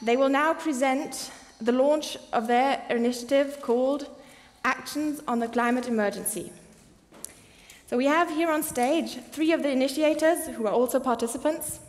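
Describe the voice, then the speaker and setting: High-voiced young woman moderating in a hall. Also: moderate pace. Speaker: press conference moderator. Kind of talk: prepared formal statement and introductions. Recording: echoey hall with long reverb.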